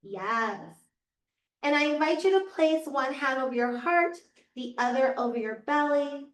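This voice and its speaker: A woman yoga teacher guiding a class through slow, soothing cues, her voice a little raspy from losing it. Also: high-pitched, expressive, a bit bright. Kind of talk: guided yoga class instruction. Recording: echoey room, voice from far off.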